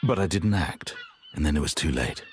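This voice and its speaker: A man voicing a grave, honourable, regretful warrior in a video game, earnest and measured. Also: rumbling low, animated, a little mellow, slight background noise. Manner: earnest, measured, formal, brooding. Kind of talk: dramatic video game voice acting.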